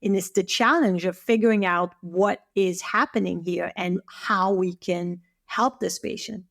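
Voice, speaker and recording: A woman's voice: on the low side, steady tone, a little slow, vocal fry, fairly bright tone. A woman with a French accent, whose first language is French, talking as a guest in a podcast interview with eager speech and many restarts. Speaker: guest, Chinese medicine teacher.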